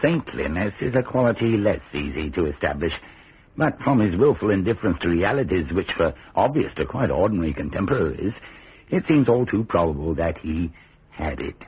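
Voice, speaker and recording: Deep, husky, wry narrator. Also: male voice, very animated, brisk, exaggerated voice. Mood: dry, ironic. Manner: dry, bookish, ironic. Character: wry common-man narrator, dryly ironic. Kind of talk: scripted narration in a radio drama. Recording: tinny, band-limited radio drama recording.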